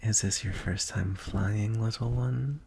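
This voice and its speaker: A male dragon, voiced by a man for fantasy ASMR roleplay, smug and coaxing with a soft threat underneath. The voice is gravelly and fairly low, drawn out very slowly. There is some noise behind it. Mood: gentle, playful. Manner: slow, coaxing, softly threatening. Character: teasing, coaxing, smugly menacing male dragon.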